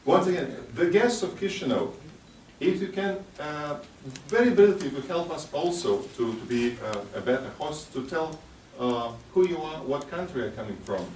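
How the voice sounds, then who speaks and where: A man speaking to a youth conference, encouraging and halting as he gives a motivational talk and questions the audience. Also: a little high, expressive, a little mellow, distant mic. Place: large echoing hall at a conference ceremony. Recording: echoey hall from afar, background noise.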